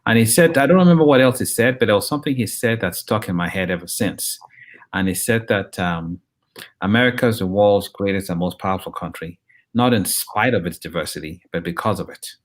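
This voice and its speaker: A man in his fifties from Nigeria, with a very low voice, answers an interviewer's questions. He speaks in a measured, conversational way. He often pauses, says um and restarts his sentences. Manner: measured, with frequent ums and restarts. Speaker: interview guest, journalist and professor.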